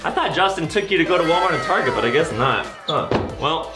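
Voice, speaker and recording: A man in his twenties hosting excitable gameplay commentary for kids, with exaggerated, theatrical reactions. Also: on the high side, roomy sound, music in the background. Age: 20s. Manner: exaggerated, theatrical reactions with shouts and drawn-out words. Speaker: gaming video host. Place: indoor room with bare walls.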